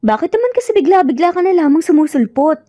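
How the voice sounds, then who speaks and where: A woman's voice: very high voice, sing-song, fast talker, mellow, faint music behind, pitched above the performer's own voice. A woman with a Filipino accent voicing a guarded, hurt young woman who answers curtly and evasively in a narrated romance. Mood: startled.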